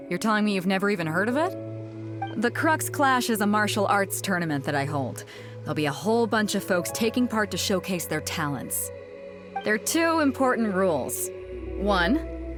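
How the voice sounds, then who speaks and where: A woman's voice: mid-range voice, big pitch swings, normal speed, music in the background, natural voice. An actress voicing a hearty, confident female ship captain in a video game, with breezy, teasing delivery. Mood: confident, explaining. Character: bold, hearty female ship captain, swaggering and good-humoured. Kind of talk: video game story dialogue.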